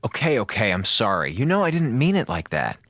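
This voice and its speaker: Flustered, animated space officer. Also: male voice, fairly low pitch, a little fast, exaggerated voice. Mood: apologetic, defensive. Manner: stammering, nervous backpedaling. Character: flustered, evasive, placating male space station officer. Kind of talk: radio drama comedy acting.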